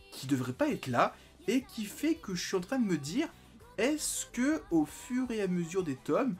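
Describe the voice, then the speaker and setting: A man in his late twenties reviewing a manga in a casual video, talking animatedly and breaking into excited exclamations. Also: fairly high pitch. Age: 20s.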